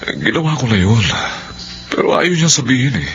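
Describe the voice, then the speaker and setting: An actor with a Filipino accent playing an earnest, devoted husband in a radio drama. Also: male voice, mid-range voice, big pitch swings, fairly quick, gravelly, a bit bright, soft background music. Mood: worried.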